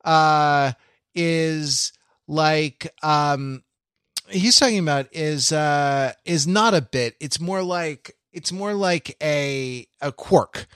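A man with a voice pitched a little high talks like a podcast co-host working out an idea. His delivery is casual and analytical, halting and often restarting as he thinks aloud. The sound is dry, with very little room to it. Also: clean tone, dry recording. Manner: halting, self-correcting, thinking out loud.

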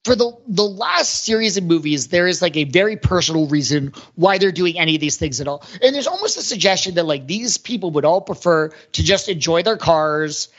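A man's voice: high-pitched, lively intonation, fairly quick, hardly any pauses, muffled recording. A man rambling and thinking aloud as he casually discusses movies as a podcast host.

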